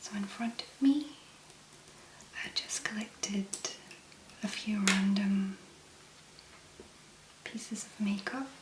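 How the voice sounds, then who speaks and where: Female ASMR host, mid-pitched, hushed whisper and gentle delivery, unhurried, roomy recording with noise behind. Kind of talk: soft-spoken whispered ASMR. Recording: roomy echo, clear background noise.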